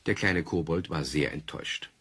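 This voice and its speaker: A man's voice: very deep voice, normal speed, fairly bright tone, slightly rough. A man narrating a scripted children's story as the narrator of an audio play.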